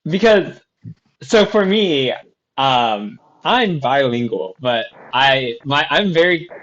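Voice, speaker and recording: A man's voice: mid-range voice, expressive, slow pace, a bit bright. Rambling young man, slightly muffled. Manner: rambling, many fillers and restarts. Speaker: podcast co-host. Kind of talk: casual podcast chat. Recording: slightly dull lo-fi sound.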